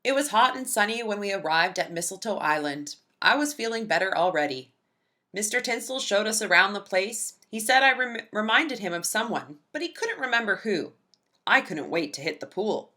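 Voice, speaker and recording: Animated, bright-voiced woman reading a storybook. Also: medium pitch, normal speed. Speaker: read-aloud storyteller. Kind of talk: expressive children's picture book read-aloud.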